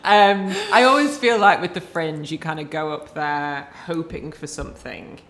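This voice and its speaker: A woman's voice: low-pitched, moderate pace, a bit bright. A woman hosting a podcast interview in casual, chatty talk, laughing often and making self-mocking asides. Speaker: podcast host.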